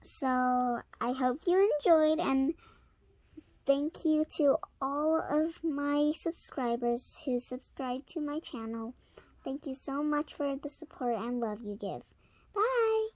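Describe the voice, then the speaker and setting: A young storyteller reading aloud haltingly, sounding out and repeating words, recorded on a handheld phone. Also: sits high, animated, darkish tone. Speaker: reader and channel host.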